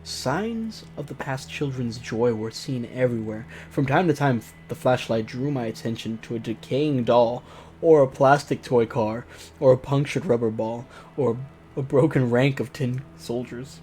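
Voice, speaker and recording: A man's voice: medium pitch, normal speed, dark tone, a little hiss or hum. A man hosting a let's play, reading a visual novel aloud theatrically and breaking off into mocking, exclaimed asides. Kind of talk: narrated game let's play with joking asides.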